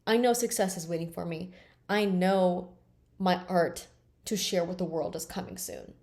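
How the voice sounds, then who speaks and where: A woman's voice: lowish voice, fairly even intonation, normal speed, darkish tone. A woman in her late twenties talking bluntly and emphatically in a candid personal vlog, swearing freely. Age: 20s. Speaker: vlogger.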